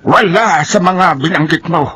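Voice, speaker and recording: An actor playing a stern, fierce older folk healer and exorcist, commanding and declamatory in a radio horror drama. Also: male voice, a little high, measured pace, husky, heavily acted. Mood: grave, explaining. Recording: very noisy mix, loud background sounds.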